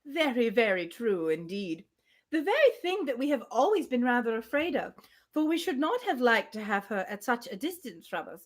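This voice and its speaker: Woman acting a high, swooping, chattering spinster gossip. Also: big pitch swings, moderate pace. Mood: fond, chattering. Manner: breathless, rambling, effusive. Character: chattering, gushing, good-natured spinster gossip. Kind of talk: classic novel read aloud in character.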